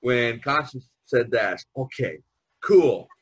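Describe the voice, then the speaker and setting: Older man, husky, rambling chat. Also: mid-range voice, expressive, takes it slowly, dry recording. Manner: rambling, often says "you know". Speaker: artist hosting a group podcast. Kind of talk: casual group chat. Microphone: online video conference call.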